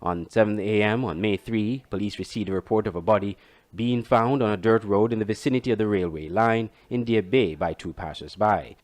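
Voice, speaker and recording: A man reading scripted news reports as a reporter. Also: on the low side.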